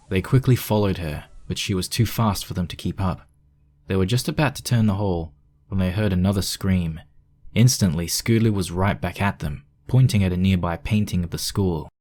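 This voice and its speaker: Male narrator, low-pitched, scripted storytelling, fan fiction reading. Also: moderate pace, darkish tone.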